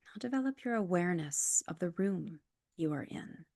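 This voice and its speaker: A woman leading a guided relaxation as a yoga nidra instructor, calm and measured, with soft, breathy phrase endings. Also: fairly low pitch, no echo, clean studio sound. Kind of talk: guided meditation, scripted instructions.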